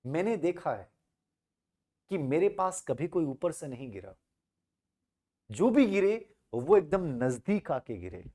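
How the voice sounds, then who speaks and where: A man voicing a seasoned, wise teacher in a moral story, advising in a calm, measured manner. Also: a little high, full character voice. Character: seasoned male tree-climbing teacher, calm, wise, cautioning.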